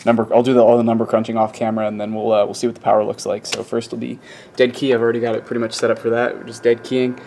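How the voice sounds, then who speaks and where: A man giving a casual, hands-on demonstration as a hobbyist video host, talking haltingly as he thinks aloud at an indoor workbench. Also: medium pitch, flat delivery, a little mellow. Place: indoor workbench with electronics gear. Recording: clearly noisy room ambience.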